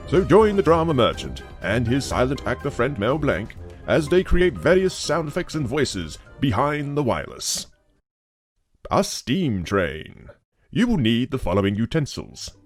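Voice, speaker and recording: A male narrator delivers a scripted children's tutorial with very lively intonation. His manner is deliberate and storyteller-like, with music clearly playing behind him. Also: medium pitch.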